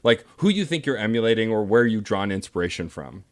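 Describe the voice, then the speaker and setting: A man's voice: lowish voice, sing-song, fairly quick. Laid-back male podcast host. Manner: laid-back, interviewer asking questions. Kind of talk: casual podcast interview.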